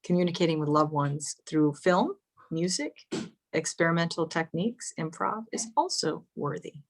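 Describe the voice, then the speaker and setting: A woman's voice: deep voice, moderate pace. A woman on a panel, telling stories casually over a video call, full of "you know" and wry asides.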